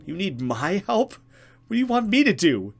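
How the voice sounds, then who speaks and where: A man's voice: high voice, sing-song, normal speed, vocal fry, pitched above the performer's own voice, full character voice. A man voicing a frightened young woman in a horror visual novel, urgent, anxious and pleading. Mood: anxious questioning.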